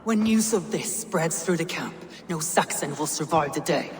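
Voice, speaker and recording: An actress playing a confident, loyal Viking woman commander who speaks in an assured, steady way, in a video game. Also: deep voice, moderate pace, a little hiss or hum.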